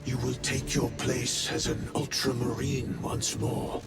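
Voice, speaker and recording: Slow, echoing, solemn warrior elder. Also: male voice, medium pitch, little pitch movement. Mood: grave, solemn. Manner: grave, ceremonial, measured. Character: solemn male space marine elder presiding over an oath. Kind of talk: cinematic video game dialogue. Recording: echoey chamber with music behind.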